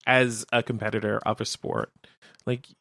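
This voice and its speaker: A man co-hosting a radio show, chatting casually in an agreeable, measured manner. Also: on the low side, level tone, vocal fry, sharp tone, dry recording. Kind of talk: casual chat.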